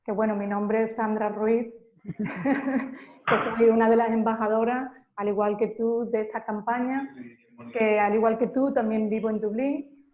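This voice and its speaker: A woman from Andalusia with an Andalusian accent, hosting and asking measured questions. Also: on the high side, flat delivery. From Seville, Andalusia, Spain. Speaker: host. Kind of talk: conversational interview. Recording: echoey, tinny call audio.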